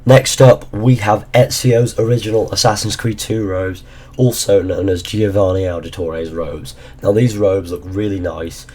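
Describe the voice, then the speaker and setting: Hoarse deep-voiced man. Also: steady tone, a little slow, a little mellow. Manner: rambling, informal, swears casually. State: hoarse, losing his voice. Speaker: gaming YouTuber. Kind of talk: casual ranking commentary.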